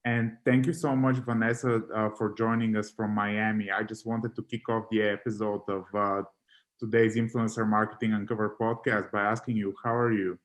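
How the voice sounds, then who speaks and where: A man co-hosting a podcast, asking steady, scripted-sounding questions. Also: fairly low pitch, normal speed. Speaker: podcast co-host. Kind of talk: podcast interview.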